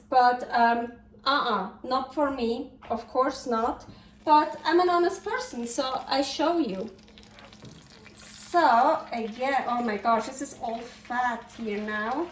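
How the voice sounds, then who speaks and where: A woman with a Swiss German accent talks to herself while she cooks, her voice high and her pace unhurried. She chats and corrects her own words as she goes. Her kitchen sounds echoey, and the mic picks her up from a distance. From Switzerland. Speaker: cooking channel host. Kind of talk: casual cooking vlog. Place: home kitchen.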